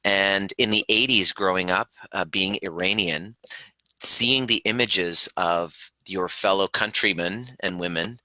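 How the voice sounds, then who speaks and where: A man who grew up in Canada after early years in Iran, hosting a live question-and-answer stream in an earnest, halting way with many restarts. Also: low-pitched, sharp tone, dry recording, poor audio. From Iran, raised in Canada. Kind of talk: casual live-stream talk.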